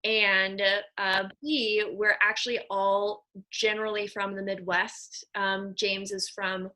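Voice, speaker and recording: Bright-voiced American woman, effusive podcast host. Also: mid-range voice, level tone, slow pace. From Nebraska, US. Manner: effusive, affectionate, informal. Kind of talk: casual conversational guest introductions.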